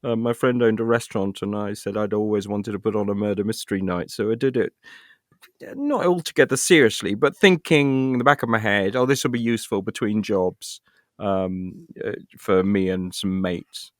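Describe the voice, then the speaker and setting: A middle-aged man with a fairly low voice, recorded close and dry. He talks as an interview guest, telling anecdotes in a halting way and doubling back over words as he explains. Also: no room sound. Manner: halting, stammered repetitions as he searches for words. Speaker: interview guest, actor and writer.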